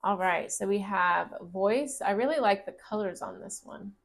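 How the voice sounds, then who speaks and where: A woman giving a casual tarot reading to camera, speaking gently and encouragingly, and her voice is turning raspy. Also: medium pitch, moderate pace. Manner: gentle, encouraging, reads card text aloud. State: voice getting raspy. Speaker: tarot reader.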